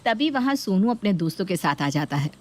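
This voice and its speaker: A woman's voice: mid-range voice, sing-song, a little fast. A woman narrating a scripted family story.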